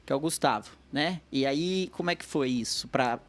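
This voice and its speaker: A man who is a physician hosting a podcast, asking questions in a relaxed, slangy way. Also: mid-range voice, moderate pace. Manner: relaxed, slangy, friendly asides. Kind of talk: casual interview.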